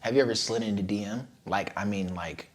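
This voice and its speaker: A man from the Bay Area, a content creator, in casual sit-down chat with slangy, laid-back banter and a voice that is not very deep. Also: a little fast. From San Francisco Bay Area.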